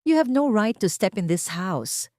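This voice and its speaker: A woman's voice: medium pitch, sing-song, unhurried, a little mellow, dry recording, studio quality, voice pushed up. A woman voicing an arrogant, scornful young heiress, the villain of an audiobook drama, who slips into English. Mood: outraged, scornful. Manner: haughty, scornful, switches into English.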